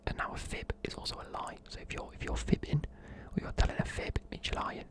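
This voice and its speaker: A man, whispering softly in a chatty, rambling way while explaining words. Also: brisk. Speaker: ASMR creator. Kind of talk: whispered ASMR explaining slang.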